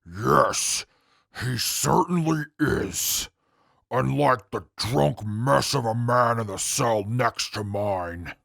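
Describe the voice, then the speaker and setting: Haughty, scornful convict played by a man, extremely gravelly, slow and formal, for a wasteland audio drama. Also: mid-range voice, a bit bright, no echo, clean studio sound. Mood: scornful, blunt. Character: stern, haughty male prisoner, proud and scornful. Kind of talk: post-apocalyptic audio drama acting.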